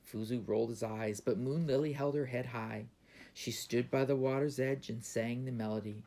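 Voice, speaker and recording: A man's voice: mid-range voice, fairly even intonation, moderate pace, dark tone. A man reading his own children's book aloud as a storybook narrator.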